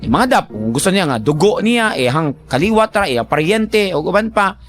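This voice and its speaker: A man's voice: high voice, normal speed, faint music behind. A man with a Filipino Cebuano accent hosting a radio advice segment, talking in a colloquial, teasing, conversational way to a letter sender. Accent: Filipino, Cebuano Bisaya. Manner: animated, colloquial, teasing, acts out imagined remarks.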